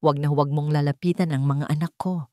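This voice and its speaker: Woman as a stern, protective rich father, lower-set voice. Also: steady tone, quick pace, mellow, dry recording, studio quality, close to an everyday voice. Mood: cold, commanding. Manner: cold, commanding. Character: stern wealthy father, protective, icy, commanding man.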